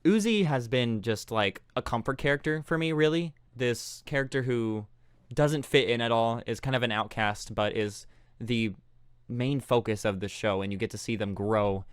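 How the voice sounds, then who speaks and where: A man giving a casual, heartfelt fan tribute in an earnest, halting way. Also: mid-range voice, clean tone, dry recording, clean studio sound. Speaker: fan contributor, aspiring voice actor.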